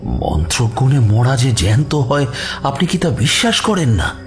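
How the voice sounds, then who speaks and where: Casting: male actor for evasive, emotive occult believer, animated intonation, mystery audio drama over music. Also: mid-range voice, moderate pace. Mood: hurt, insistent. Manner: emotive, protesting, self-justifying. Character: aggrieved, evasive gentleman who believes in tantric magic. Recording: music behind the voice.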